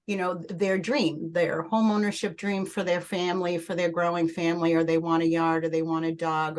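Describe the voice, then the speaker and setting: Lowish-voiced woman with a Portuguese accent. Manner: earnest, halting with restarts. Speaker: mortgage loan originator. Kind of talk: casual podcast introduction.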